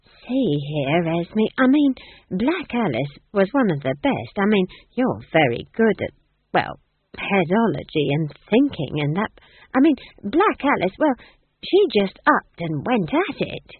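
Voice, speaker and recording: A woman's voice: medium pitch, sing-song, measured pace, breathy edge, no room sound. A woman voicing a chatty, earthy, comic old witch who rambles colloquially and exclaims, in an audiobook style. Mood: flustered. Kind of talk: audiobook character voice. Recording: thin, phone-like band-limited audio.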